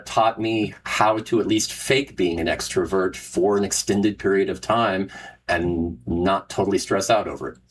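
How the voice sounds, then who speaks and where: A man's voice: fairly low pitch, slight background noise, rough recording. A middle-aged man hosting a casual talk show, who rambles, restarts often and laughs at himself. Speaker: podcast host and Renaissance fair performer. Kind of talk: casual solo chat with live listeners.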